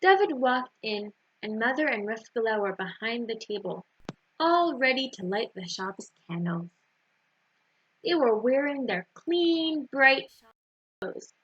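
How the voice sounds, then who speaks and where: A woman storyteller reading aloud to children in an animated style. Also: on the high side, unhurried, slightly rough. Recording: dull, roomy lo-fi recording.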